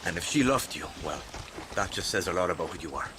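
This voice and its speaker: A man's voice: low voice, expressive, quick pace. A man playing a wry, defiant young rebel recruit in a video game, speaking frankly and sardonically. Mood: earnest. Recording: noticeable ambient noise behind.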